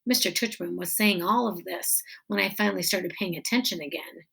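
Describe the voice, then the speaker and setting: A woman reading a children's novel aloud in a deliberate, expressive storytelling style with weighted pauses. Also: fairly low pitch, creaky, husky. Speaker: teacher reading a book aloud.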